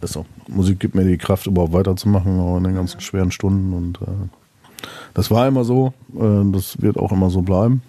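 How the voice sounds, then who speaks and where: Low, husky, gravelly German man. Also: steady tone, brisk, dark tone. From Münsterland, Westphalia, Germany. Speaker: podcast guest. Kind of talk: casual, reflective interview chat.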